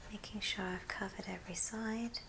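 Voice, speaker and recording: A woman whispering breathily in a gentle ASMR craft tutorial, explaining step by step. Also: medium pitch, steady tone, moderate pace, creaky, noisy background.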